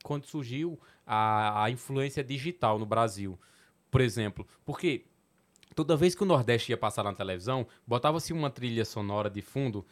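Pernambuco-accented man telling animated stories. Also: mid-range voice, moderate pace. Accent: Pernambuco sertão, São Francisco valley. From Petrolina, Pernambuco, Brazil. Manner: animated storyteller, laughs often, swears casually. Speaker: comedian guest on a podcast. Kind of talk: casual podcast chat.

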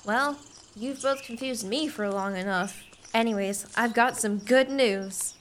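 Radio play role: earnest young countrywoman female actor, very high, bright, forward voice. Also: measured pace, a little hiss or hum. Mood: friendly, curious. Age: young adult. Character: kind, eager, pretty young woman, rancher's helpful friend. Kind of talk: family radio play acting.

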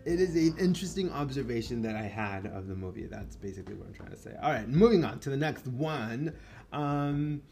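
A man from Mexico whose first language is Spanish talks casually about movies at an ordinary mid pitch. He rambles, trips over restarts, fills gaps with ums and lets a swear word slip now and then. Faint music plays underneath. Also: animated, brisk, gravelly, darkish tone. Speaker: podcast host. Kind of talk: casual film review chat.

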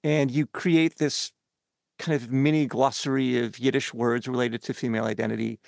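A man from Canada hosting a podcast interview, asking long earnest questions with many halting fillers. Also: medium pitch, steady tone, creaky, a little mellow, dry recording, clean studio sound.

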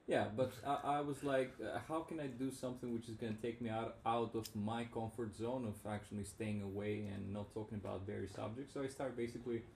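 A man's voice: lowish voice, fairly even intonation, brisk, creaky, few pauses, darkish tone. A man hosting a casual podcast chat, rambling and frank, often restarting his sentences. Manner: rambling, self-interrupting, frank. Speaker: podcast host. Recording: roomy with faint background noise.

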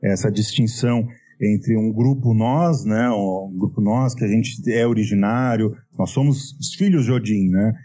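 A man's voice: lowish voice, unhurried, dark tone, husky, soft background music. A man with a Brazilian accent talking in a casual academic discussion, reflective, often tagging "né?" and restarting phrases. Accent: Brazilian Portuguese. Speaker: medievalist scholar.

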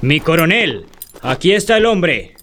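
An actor playing a brusque, insistent soldier in an audio drama. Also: male voice, fairly high pitch, very animated, a little slow, a bit bright, a little hiss or hum. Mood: dutiful. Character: brusque, barking male soldier under a colonel.

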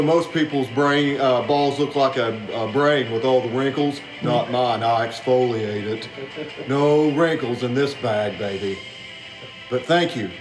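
A man's voice: medium pitch, echoey room, rough recording. A man giving a crude, mocking, rambling comedic roast. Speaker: league member giving a roast.

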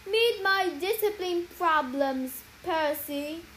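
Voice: very high voice, moderate pace, slight background noise, pitched above the performer's own voice, poor audio. A young reader voicing a scheming sorceress who talks sweetly but cruelly, scolding and condescending. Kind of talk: story reading aloud.

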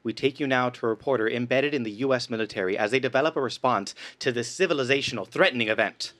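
A man's voice: medium pitch, fast, fairly bright tone, pitched below the performer's own voice, lightly acted. A man playing a grave, melodramatic announcer reading news bulletins in a radio play. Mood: solemn urgency. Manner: melodramatic, portentous.

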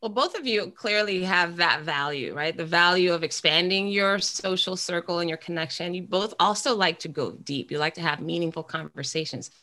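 A woman's voice: fairly low pitch, normal speed, hardly any pauses, pushed and bright. A woman hosting a podcast, asking her guests questions in an encouraging, affirming way. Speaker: podcast host.

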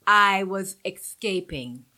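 Bright-voiced Guyanese woman. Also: medium pitch, lively intonation, slow pace. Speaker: guest. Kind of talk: candid conversational interview.

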